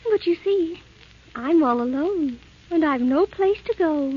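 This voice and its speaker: A woman voicing a sweet, timid young princess in an old animated film, gentle and dainty. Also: high-pitched, a little slow. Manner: gentle, dainty, sing-song. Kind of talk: 1930s animated film acting. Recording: dull vintage film soundtrack with music.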